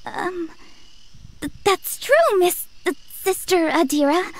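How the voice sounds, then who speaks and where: A woman's voice: very high-pitched, expressive, slow pace, heavily acted. A woman voicing an eager, timid teenage girl in a visual novel, sweet and politely hesitant. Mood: nervous, polite.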